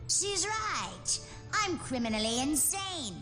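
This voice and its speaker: A woman's voice: sits high, very animated, slow pace, heavily acted. A woman playing a gleeful, criminally insane video game villainess who taunts in a theatrical, mocking way. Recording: long reverb, loud music behind.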